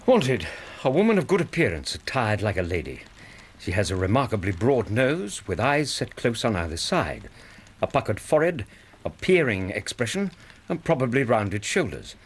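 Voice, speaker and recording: An actor playing a courteous, dryly patient English gentleman doctor with a husky voice in a radio drama. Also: fairly low pitch, very animated, normal speed, full character voice. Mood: amused. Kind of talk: BBC radio drama acting.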